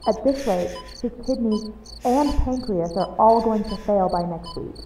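A woman's voice: medium pitch, a little slow, warm tone, a little hiss or hum, lightly acted. A woman playing a grave, worried medic in an audio drama, serious and clinical. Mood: grave concern. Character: grave, worried medic discussing a dying patient. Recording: distant, with faint cricket-like ambience.

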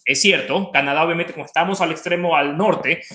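A man's voice: a little high, brisk, sharp tone. A man in his thirties with an Ecuadorian accent, talking enthusiastically and explaining things informally as a college representative on a video call. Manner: enthusiastic, explanatory, repeats words for emphasis. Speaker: college representative, webinar guest. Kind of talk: informal promotional interview talk. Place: home living room with open kitchen, video call. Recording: roomy echo on video call.

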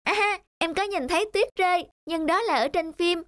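A woman's voice: very high-pitched, normal speed, no echo, studio quality. A woman voicing an innocent, friendly little boy in a cartoon drama, with a childlike, eager, curious manner. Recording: loud children's music behind.

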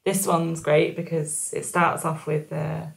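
A woman's voice: little pitch movement, unhurried, vocal fry. A woman in her thirties, a folk musician, chatting casually and rambling about her album on a video call from her living room. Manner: chatty, rambling with fillers, trails off into low mutters. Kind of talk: casual chat at an album listening session. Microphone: webcam on a video call, wearing headphones. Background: album playing loudly.

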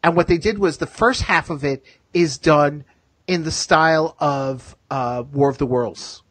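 Man, show host, slightly high voice, husky, casual chat, roundabout with asides, dry sound. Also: a little slow, dry recording. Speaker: host of a TV fan discussion show.

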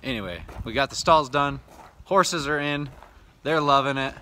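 A man's voice: mid-range voice, moderate pace, pushed and bright, slight background noise. An American man in his thirties talking casually to viewers in a laid-back, plain-spoken way, like a DIY vlogger. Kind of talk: casual vlog narration to viewers.

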